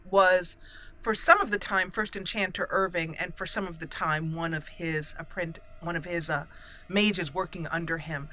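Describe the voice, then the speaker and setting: A woman's voice: fairly low pitch, sharp tone. A woman giving casual roleplay commentary as the host of a let's play.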